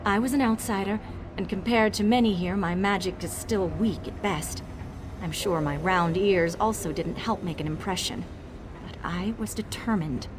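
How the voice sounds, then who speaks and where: Woman acting a young female mage tinkerer, boastful, eager, nervous, dramatic game dialogue, medium pitch, background music. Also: moderate pace, lightly acted. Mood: earnest. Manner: dramatic, self-congratulating, hesitant when cornered. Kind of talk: video game character dialogue.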